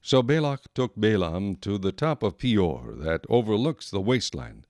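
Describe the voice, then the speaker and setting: A male narrator tells a scripture story in a fairly low voice. His reading is measured and solemn, recorded close and dry without any room sound. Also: big pitch swings, a little mellow, no room sound.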